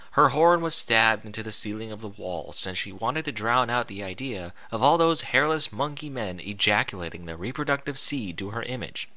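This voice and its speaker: Animated, bright-voiced man reading stories. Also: medium pitch, no echo. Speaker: amateur fan fiction reader. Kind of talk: dramatic storybook narration with comic edge.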